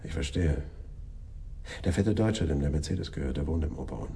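An actor playing a reflective, matter-of-fact policeman who speaks deliberately and informally in a radio play. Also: rumbling low, lively intonation, creaky, dark tone, a little hiss or hum, heavily acted. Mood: pondering.

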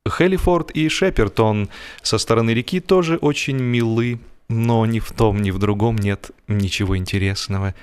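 A man narrating humorous literary prose in a wry, measured, expressive theatrical manner. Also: fairly low pitch, clear, resonant tone. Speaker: audiobook narrator.

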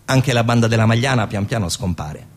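A man's voice: lowish voice, lively intonation, a little fast. A man delivering dramatic scripted narration as a theatre narrator, emphatic and ironic. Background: music.

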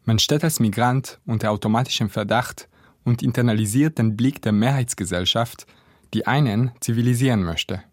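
A man's voice: medium pitch, moderate pace, a little mellow, no echo. Dry, close-sounding male essay narrator from Israel. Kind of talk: scripted first-person essay narration. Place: dry studio.

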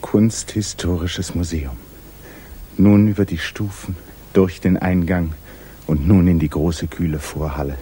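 An actor narrating a 1950s literary radio drama as a grave, intimate storyteller with measured, dramatic delivery. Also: male voice, low-pitched, fairly even intonation, mellow, slightly rough. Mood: hushed, intense. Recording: 1950s radio recording, music behind.

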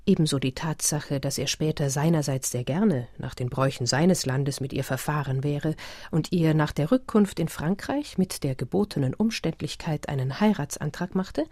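A woman with a very low-pitched, soft-toned voice reads literary narration in a composed, measured way. Her voice has a husky edge and is recorded dry and close. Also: dry recording.

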